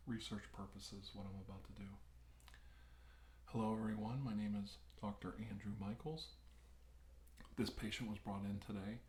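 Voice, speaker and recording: A man playing a calm, reassuring doctor in an ASMR medical roleplay, speaking softly with hesitant, soothing delivery. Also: lowish voice, natural voice. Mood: soft, careful. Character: calm, reassuring male doctor examining an alien abduction patient. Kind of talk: ASMR medical exam roleplay, soft-spoken to whispered. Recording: roomy echo, faint background hiss.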